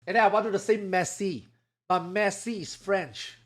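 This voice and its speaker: A man's voice: sits high, moderate pace, a bit bright. A man from Singapore with a Singaporean English accent, chatting casually and jokingly with his stream viewers and swearing freely. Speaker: live streamer.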